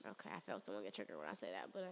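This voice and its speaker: A woman's voice: very deep voice, rapid-fire, a little hiss or hum. A woman podcast host talking earnestly in a casual spiritual chat, a little congested. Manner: earnest, animated, breaks into song.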